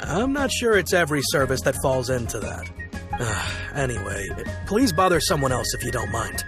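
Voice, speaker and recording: A man's voice: medium pitch, sing-song, moderate pace, over music, natural voice. A man voicing a busy, impatient passerby who speaks in a curt, dismissive way in a game cutscene.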